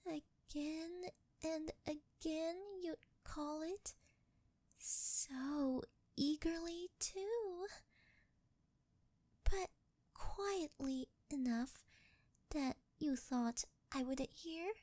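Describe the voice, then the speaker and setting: A young woman playing a sweet, shy, clingy angel turned succubus in an ASMR roleplay, speaking softly and breathily with a coy, halting, intimate delivery close to the mic. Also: high-pitched, no room sound. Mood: coy teasing. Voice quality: soft, breathy, girlish. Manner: coy, halting, intimate, often dropping into whispers. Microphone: close ASMR microphone, dry sound.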